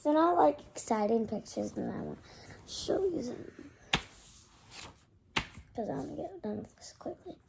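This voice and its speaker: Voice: high-pitched, lively intonation, a little slow, vocal fry, long pauses, background noise. A young child reading a picture book aloud expressively, acting out characters and adding asides. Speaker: storybook reader.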